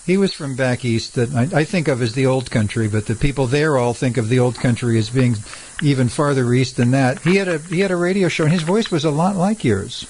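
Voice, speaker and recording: A man hosting a podcast, asking curious questions that wander before they land. His pitch sits in the middle for a man. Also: brisk, darkish tone, slight background noise, poor audio. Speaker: podcast host. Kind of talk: casual interview chat.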